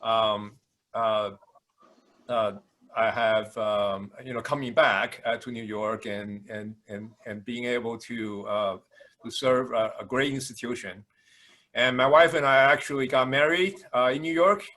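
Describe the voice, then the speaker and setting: Halting older man with a Taiwanese accent. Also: medium pitch, steady tone, sharp tone. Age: 60s. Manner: halting, frequent hesitations and repetitions. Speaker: university administrator introducing himself. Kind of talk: informal introductory speech. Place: video call.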